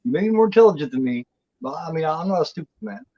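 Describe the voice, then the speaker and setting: A man's voice: fairly high pitch, animated, fast talker, warm tone, no room sound, muffled recording. A man in his forties chatting as co-host, blunt and profane, rambling with lots of restarts. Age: 40s. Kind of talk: casual chat.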